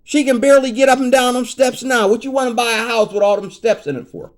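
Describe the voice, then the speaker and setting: Man in his 50s, high-pitched voice, rambling vlog rant that turns emphatic, keeps asking "you know what I mean". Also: fairly even intonation, quick pace. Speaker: vlogger.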